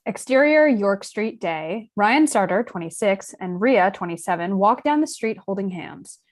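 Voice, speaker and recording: A woman reads stage directions for a screenplay table read. Her voice is mid-pitched, and she delivers scripted scene narration. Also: lively intonation, normal speed.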